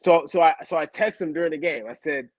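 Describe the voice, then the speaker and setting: A man co-hosting a casual podcast as a former player, telling stories in an animated, slangy way and often repeating himself. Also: fairly high pitch, quick pace, no echo. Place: home studio, video call. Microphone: video call setup.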